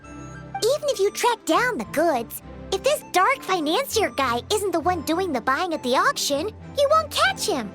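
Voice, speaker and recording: A tiny, childlike game fairy, with an extremely high, squeaky voice. She is naive and excitable, exclaiming with very lively pitch swings. Playful music plays clearly behind her. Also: unhurried, full character voice. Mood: dawning realization. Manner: speaks of herself in third person, exclamatory. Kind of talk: video game voice acting.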